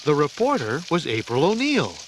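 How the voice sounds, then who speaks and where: A man narrating a scripted children's adventure story in a dramatic, deliberate storytelling manner. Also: on the high side, wildly swooping pitch, background noise. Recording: loud music under the voice.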